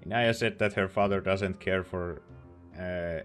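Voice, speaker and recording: A man's voice: low voice, steady tone, a little slow, over music. A man giving casual, wry, informal reactions as he plays through a game. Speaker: let's play streamer.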